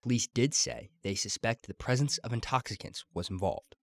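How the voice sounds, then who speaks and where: A man's voice: mid-range voice, normal speed, gravelly, slight background noise. A young American man delivering broadcast news as a student TV reporter, in a formal announcer-like manner. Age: young adult.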